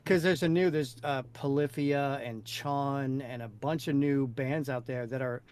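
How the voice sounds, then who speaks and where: A man's voice: medium pitch, steady tone, a little slow, a little mellow, clear, resonant tone. A man co-hosting, chatting casually as a music fan.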